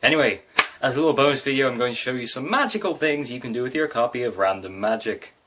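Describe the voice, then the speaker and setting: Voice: mid-range voice, expressive, fast talker, a bit bright. A book reviewer giving a scripted presentation about a fantasy novel.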